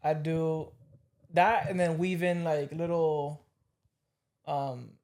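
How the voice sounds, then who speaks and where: Relaxed male host with a lighter voice, offering casual advice. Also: a little high, takes it slowly. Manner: laid-back, advice-giving. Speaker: podcast host. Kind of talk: casual podcast chat.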